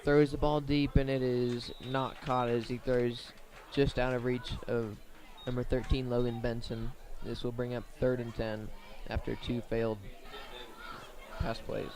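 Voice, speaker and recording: A man doing live radio play-by-play of a high school football game in short, plain, measured phrases. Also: flat delivery, darkish tone. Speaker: play-by-play sports commentator.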